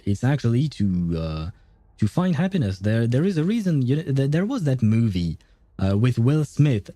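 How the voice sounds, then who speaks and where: A man's voice: mid-range voice, warm tone, no echo. A man chatting casually on a livestream in a rambling, easygoing, chuckling way. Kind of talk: casual livestream chat about games and life.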